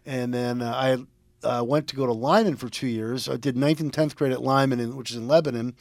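A man's voice: medium pitch, steady tone, brisk, gravelly, dry recording. A man in his fifties from Connecticut, a radio guest telling personal stories in a talkative, rambling interview style. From Connecticut, United States. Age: 50s. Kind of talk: casual interview, personal storytelling.

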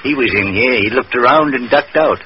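A man's voice: mid-range voice, a little fast, lightly acted. An actor playing a boozy, florid male sidekick in a 1940s radio detective drama, teasing, wry and wary of trouble. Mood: confiding, warning. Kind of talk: 1940s radio comic-relief acting. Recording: muffled, faintly noisy old radio recording.

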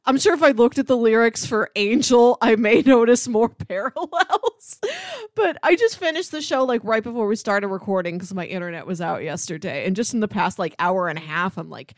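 Casting: female podcast co-host, medium pitch, casual conversational TV chat, very dry recording. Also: lively intonation, normal speed, no echo.

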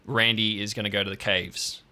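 A man voicing a brisk, no-nonsense male police officer who gives direct orders. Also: lowish voice, level tone, pushed and bright.

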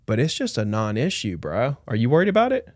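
A man who works as a real estate agent, talking in an animated, chatty panel debate with lots of fillers. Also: medium pitch, brisk, no room sound, studio quality.

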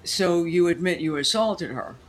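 A man's voice: fairly high pitch, lively intonation, moderate pace, lightly acted. A man playing a stern police officer in an audio drama, speaking with formal authority.

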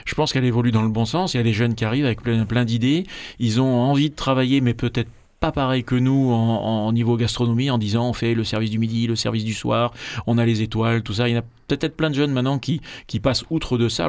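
A middle-aged man, a chef guest on a radio show, speaking in a relaxed and hesitant way with many fillers. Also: medium pitch, fast talker. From Marseille, France. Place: radio studio.

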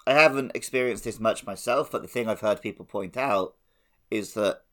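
A man's voice: lowish voice, normal speed, fairly bright tone. A man co-hosting a gaming podcast, chatting casually with a cheeky, playful manner. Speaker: podcast host. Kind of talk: casual chat.

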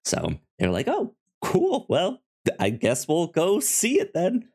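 Slow, stuttering man podcasting casually. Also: high voice, wildly swooping pitch, gravelly, darkish tone, breathy edge. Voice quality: stutters, repeating syllables and words. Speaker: podcast co-host. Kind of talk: casual chat and show recap.

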